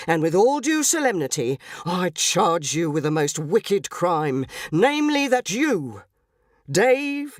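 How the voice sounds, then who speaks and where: Pompous male detective played by a woman reader: low, solemn, oratorical, florid, storybook delivery. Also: no room sound, studio quality, full character voice. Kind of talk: dramatised story reading.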